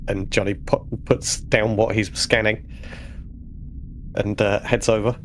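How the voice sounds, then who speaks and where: A man's voice: on the low side, little pitch movement, unhurried, a little hiss or hum. A man playing a tabletop game, casually narrating what his character does.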